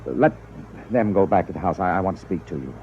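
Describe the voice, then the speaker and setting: A man acting in a 1950s radio drama as a compassionate, authoritative captain, earnest and deliberate, on a muffled old recording. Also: low-pitched, expressive, slight background noise. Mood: grave. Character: compassionate, authoritative male spaceship captain, grave and understanding.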